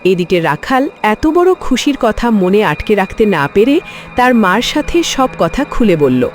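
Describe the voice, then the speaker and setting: A woman's voice: mid-range voice, sing-song, measured pace, soft background music. A woman narrating a scripted cartoon story.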